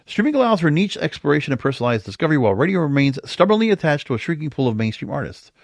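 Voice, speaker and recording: A man's voice: fairly high pitch, big pitch swings, very fast, dry recording. An American man giving opinionated solo podcast commentary in a rambling, exasperated manner full of rhetorical questions. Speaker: podcast host.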